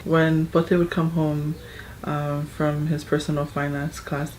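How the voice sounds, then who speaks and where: Frank woman, even-toned. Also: sits low, measured pace, a little hiss or hum, low-quality recording. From Hawaii. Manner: casual, frank, with fillers like "you know". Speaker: mother of five, interviewee. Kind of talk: testimonial interview.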